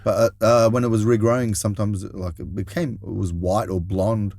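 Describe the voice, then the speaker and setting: Low-voiced man bantering casually. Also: fairly even intonation, moderate pace, warm tone, dry recording. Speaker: podcast co-host. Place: vintage caravan turned studio.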